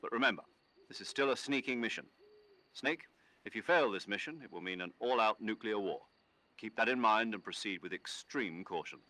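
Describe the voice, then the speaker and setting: A man playing a stern military commander in a video game, speaking in a measured, formal and urgent way. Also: on the low side, lively intonation, sharp tone. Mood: grave. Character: stern male military commander giving radio briefings. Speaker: mission commander. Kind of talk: cinematic video game acting.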